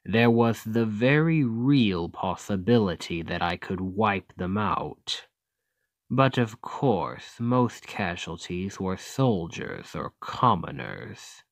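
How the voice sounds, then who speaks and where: Male actor as demon king, ASMR roleplay, very slow pacing, smug, calculating, deliberate, close dry sound. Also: fairly low pitch, lively intonation, no echo. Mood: condescending, cynical. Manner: slow, deliberate, condescending, taunting. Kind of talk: ASMR roleplay, scripted acting addressed to listener.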